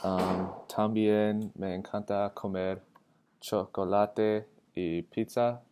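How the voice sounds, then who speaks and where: An eighteen-year-old American man, a native English speaker, giving a halting self-introduction in learner's Spanish and searching for words. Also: on the low side, steady tone, warm tone, slight background noise. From Atlanta, United States. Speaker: college student. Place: indoor room with tall windows. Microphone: webcam framing with wired earphones.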